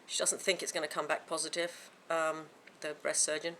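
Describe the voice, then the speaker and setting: A woman vlogging to camera from home, with a croaky voice from a sore throat, chatting in a rambling way with many fillers and restarts. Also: low voice, flat delivery, normal speed, pushed and bright, slight background noise. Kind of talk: casual chat to camera.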